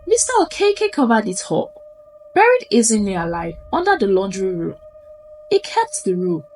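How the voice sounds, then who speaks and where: A woman's voice: medium pitch, huge pitch swings, moderate pace, a little mellow. A Nigerian woman narrating a spooky folktale in a suspenseful, theatrical way. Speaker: storyteller. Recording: music under the voice. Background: background music.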